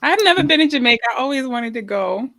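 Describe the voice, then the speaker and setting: A woman's voice: high-pitched, expressive, fast talker, sharp tone. A woman co-hosting a casual interview, filling in with short "mm-hmm"s and curious follow-up questions. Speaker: podcast co-host and interviewer.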